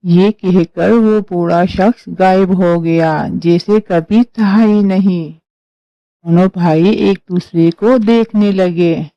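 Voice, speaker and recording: A woman's voice: lowish voice, steady tone, slow, no echo, lo-fi sound. A woman narrating a scripted story as a storyteller.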